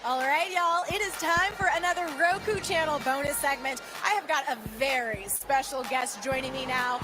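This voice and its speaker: Bubbly high-voiced woman hosting live. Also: normal speed, music in the background. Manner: gushing, enthusiastic. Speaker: pageant broadcast co-host. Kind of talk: upbeat live broadcast hosting.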